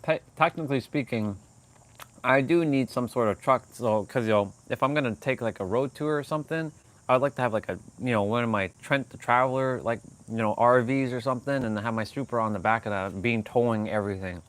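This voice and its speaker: A man's voice: mid-range voice, fairly quick. A man hosting a casual livestream, rambling with many restarts and fillers and laughing often. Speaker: livestream host.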